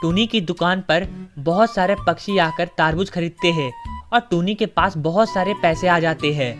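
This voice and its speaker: A woman narrates a scripted children's tale in a somewhat low voice. Soft music plays behind her storytelling. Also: normal speed.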